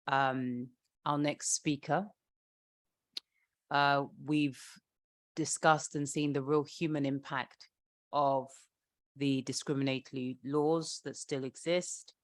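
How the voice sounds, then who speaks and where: A woman's voice: sits low, fairly even intonation, slow, long pauses, no echo. Dry-sounding woman moderating an event. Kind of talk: semi-formal moderating and introductions.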